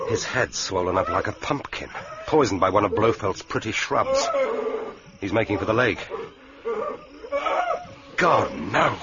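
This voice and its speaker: An actor playing a grimly determined secret agent, speaking his thoughts tersely and intensely in a radio drama. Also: medium pitch. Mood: horrified, anguished. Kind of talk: radio drama internal monologue. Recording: dull, noisy archive radio recording.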